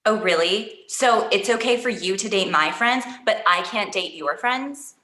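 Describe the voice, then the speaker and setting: A woman's voice: medium pitch, measured pace, pushed and bright, echoey room. An actress at a table read playing an exasperated, defensive woman artist. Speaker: actor playing Emily. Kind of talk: table read of a comedy pilot.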